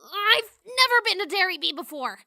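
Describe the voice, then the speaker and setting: A woman's voice: very high-pitched, animated, normal speed, sharp tone, heavily acted. A woman voicing a shy, anxious young pegasus filly in a fan fiction audio drama. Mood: upset, confessing.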